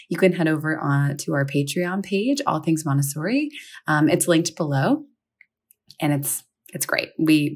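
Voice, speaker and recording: A woman's voice: low-pitched, husky. A woman hosting a podcast as a teacher, talking casually and conversationally with informal personal anecdotes. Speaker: podcast host and elementary teacher. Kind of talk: casual spoken podcast talk.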